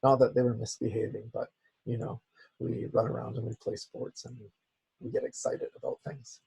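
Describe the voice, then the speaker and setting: A man with a raspy, hoarse voice, as he is losing it, teaching a software class with many "okay"s. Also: mid-range voice, flat delivery, normal speed, rough recording. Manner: repeats "okay" as a filler. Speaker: instructor. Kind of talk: software tutorial lecture.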